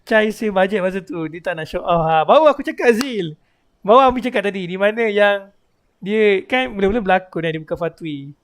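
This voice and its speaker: High-voiced Malaysian man streaming a game. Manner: chatty, code-switching, slangy. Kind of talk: casual live gameplay commentary. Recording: game music behind the voice. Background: game music.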